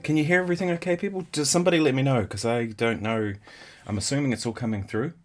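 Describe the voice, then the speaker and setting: Sniffly man chatting over loud guitar. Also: mid-range voice, quick pace. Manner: chatty, thinking aloud between guitar playing. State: sniffly from a stuffy nose. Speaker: guitarist hosting a livestream. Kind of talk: casual livestream chat. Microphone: in-ear monitors, studio mic. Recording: livestream with loud guitar behind. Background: loud electric guitar.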